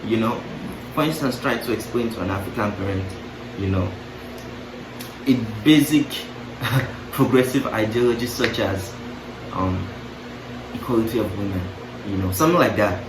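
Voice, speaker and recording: Young male vlogger, lower voice, loose, musing delivery with joking asides; distant mic, room echo, audible noise. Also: moderate pace, pauses often. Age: young adult. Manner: musing, self-interrupting, playful asides with laughs. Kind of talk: casual reflective monologue to camera. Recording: roomy, distant mic, clear background noise.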